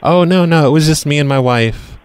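A man's voice: fairly high pitch, brisk, slight background noise. A man making a casual prank phone call, talking in a nonchalant, insistent, innocent-sounding way. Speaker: prank caller.

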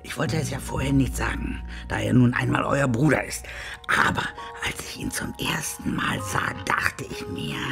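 A man playing an earnest, wary beaver in a German radio drama, dramatic and ominous, with a creaky voice. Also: mid-range voice, moderate pace, in a whisper. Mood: ominous, secretive. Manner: dramatic, ominous, swinging into hushed tones. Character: grave old talking beaver, earnest and wary. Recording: music under the voice.

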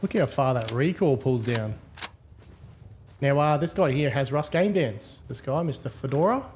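A man's voice: mid-range voice, animated, normal speed, background noise. A man giving casual, slangy, wry gameplay commentary. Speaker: host, game server admin.

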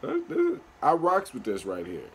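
A man's voice: medium pitch, huge pitch swings, normal speed, slight background noise. A young man hosting a music reaction video from a small bedroom, chatting loosely in slang into his webcam. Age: young adult. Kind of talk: casual reaction commentary.

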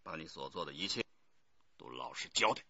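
An actor playing a stern, authoritative police detective chief in a radio crime drama. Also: male voice, extremely low pitch, lively intonation, a little fast, vocal fry, pushed and bright, no echo, lo-fi sound, heavily acted. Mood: stern, pressing. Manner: authoritative, pressing.

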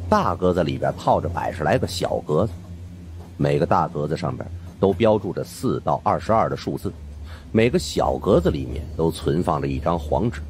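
Male narrator for supernatural suspense audiobook; extremely deep, dramatic storytelling with lively intonation.

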